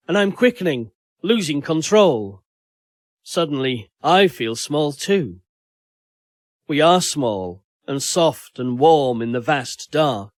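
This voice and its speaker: A man's voice: fairly high pitch, no echo, clean studio sound. A man narrating first-person fiction as a dramatic audiobook reading.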